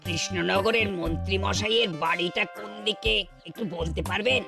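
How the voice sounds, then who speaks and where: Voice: mid-range voice, brisk, gravelly, over music, natural voice. An actor voicing an insistent visiting tradesman in a Bengali cartoon. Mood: polite. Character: insistent out-of-town tradesman demanding his money.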